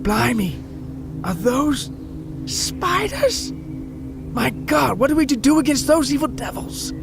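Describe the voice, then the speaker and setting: A man acts out a plucky, very proper British lady in a podcast story. The pitch is lifted high and the manner is old-fashioned and exclamatory, over clear music. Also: big pitch swings, takes it slowly, full character voice. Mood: aghast.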